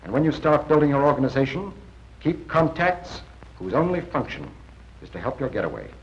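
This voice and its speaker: Seasoned 1940s spymaster, animated delivery. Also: male voice, medium pitch. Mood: assured, advising. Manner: instructive, measured, plain-spoken advice. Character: seasoned intelligence briefing officer, assured male mentor to spies. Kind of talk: 1940s training film acting. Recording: muffled 1940s film soundtrack.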